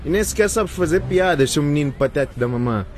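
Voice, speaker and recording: A man's voice: on the high side, expressive, fairly quick, faint music behind, natural voice. A man acting in a radio drama as a scornful, boastful young student with a sneering, offhand manner. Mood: smug, mocking.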